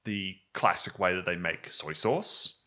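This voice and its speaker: A man's voice: low voice, unhurried, bright, forceful tone. A man narrating an improvised comedy tabletop game as game master, in a casual, descriptive, joking way.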